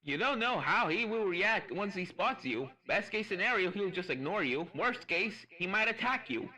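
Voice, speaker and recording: A narrator voicing a calm, helpful male pony professor who gives chatty, encouraging instructions in a fan fiction story. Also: medium pitch, moderate pace, poor audio. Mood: cautioning.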